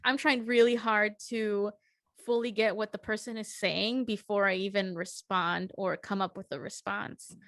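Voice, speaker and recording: A woman's voice: medium pitch, fairly even intonation, moderate pace, a bit bright, dry recording. A young woman co-hosts a casual podcast in an earnest, reassuring tone, circling her point with fillers. Her voice sits mid-range and sounds dry and close to the mic. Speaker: podcast co-host. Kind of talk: casual chat.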